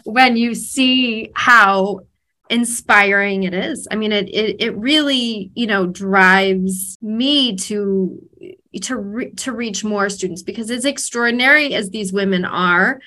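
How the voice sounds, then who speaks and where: A woman instructor speaks in an interview, moved and thoughtful. She talks very slowly, and her voice is bright and clear. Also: mid-range voice. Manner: emotional, moved, slow and thoughtful.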